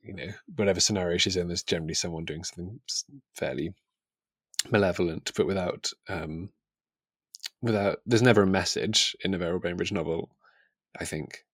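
A man's voice: sits low, fairly quick, a bit bright, breathy edge, no echo. A man co-hosting a podcast in a casual book discussion, speaking in a tentative, thoughtful way.

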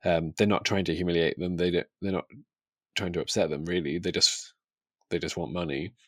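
A man's voice: sits low, fairly even intonation, fairly quick, no room sound, clean studio sound. A man co-hosting a podcast in a casual book discussion, speaking in a tentative, thoughtful way.

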